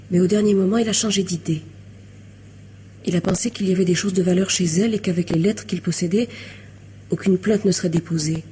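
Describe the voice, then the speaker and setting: An actress in a French radio mystery drama playing a weary, lovelorn young woman, wistful and hesitant, confessing in a hushed way. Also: lowish voice, slight background noise, lightly acted. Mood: subdued, confessing.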